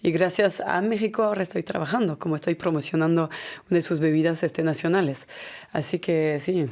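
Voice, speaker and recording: A woman from France whose first language is French, answering interview questions in a studio. She speaks in a relaxed way and drops in Mexican fillers. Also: fairly low pitch, fairly quick. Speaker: mezcal brand manager, guest. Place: radio studio.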